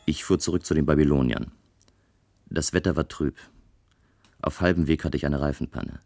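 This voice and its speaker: Weary male builder, very deep voice, measured narration, radio play, dry close sound. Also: steady tone, gravelly, a little mellow, no echo, exaggerated voice. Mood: sober, reflective. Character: weary male tower builder, sober and reflective. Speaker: narrator and lead actor.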